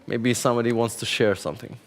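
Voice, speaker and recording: A middle-aged man hosts a panel on a conference stage. He talks at an ordinary pitch for a man, chatty and a little self-mocking, and stacks several questions one after another. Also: steady tone, quick pace. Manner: chatty, self-deprecating, strings questions together. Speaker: panel moderator. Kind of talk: moderating a panel discussion.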